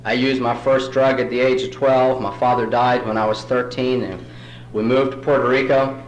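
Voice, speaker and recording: Man, from New York City, Spanish first language, folksy self-deprecating storyteller, mid-pitched voice, echoey hall. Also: level tone, moderate pace, lo-fi sound, a little hiss or hum. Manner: folksy joke-telling, self-deprecating humor. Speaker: recovery meeting speaker. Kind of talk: storytelling talk at a recovery meeting. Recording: roomy hall recording.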